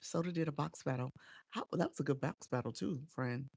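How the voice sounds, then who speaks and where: A woman's voice: deep voice, fairly quick, creaky, a little mellow, slightly rough, dry recording. A woman coaching on a casual livestream, chatty and encouraging as she greets viewers by name, sounding a bit congested and nasal from a cold. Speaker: live streaming coach.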